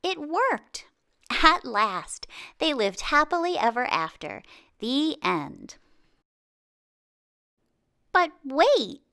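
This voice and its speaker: A woman reads a children's storybook aloud with lots of expression. Her pitch swings widely and she takes her time, pausing for drama and leaning into exclamations. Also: big pitch swings, creaky, sharp tone. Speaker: picture book read-aloud reader.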